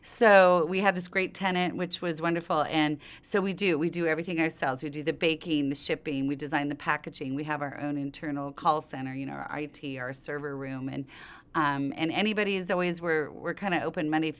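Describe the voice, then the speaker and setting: A middle-aged woman with a low, smooth, clear voice, talking as a business founder in an interview. She speaks conversationally, telling things informally and looping back to earlier thoughts as she goes. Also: fairly even intonation, fairly quick, fairly bright tone. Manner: informal, rambling, circles back mid-thought. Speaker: company co-founder being interviewed.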